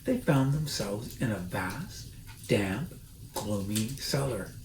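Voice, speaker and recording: A man's voice: lowish voice, animated, takes it slowly. A man hosting a story time, reading aloud theatrically with chatty, casual asides. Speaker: storybook reader and host. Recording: roomy echo, faint noise.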